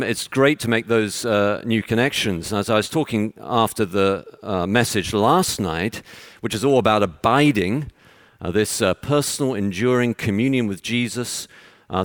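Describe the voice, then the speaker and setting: Texan guy preaching, kinda low voice, earnest and chatty, stops and starts a lot. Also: fairly bright tone, no room sound, clean studio sound. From Texas. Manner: earnest, conversational preaching with halting pauses. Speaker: visiting preacher. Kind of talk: conference sermon. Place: church conference.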